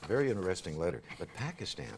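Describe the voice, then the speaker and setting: Deep-voiced joking talk show host. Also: male voice, big pitch swings, fast talker, noisy background. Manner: joking, teasing, reads listener questions aloud. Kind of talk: casual studio chat with a guest. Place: TV studio.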